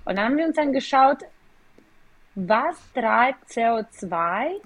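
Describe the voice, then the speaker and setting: A woman's voice: a little high, lively intonation, a little slow, no echo. Woman with a Ukrainian accent. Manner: explains at length in slightly non-native German with hesitations. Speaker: guest, company executive. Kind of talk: podcast interview.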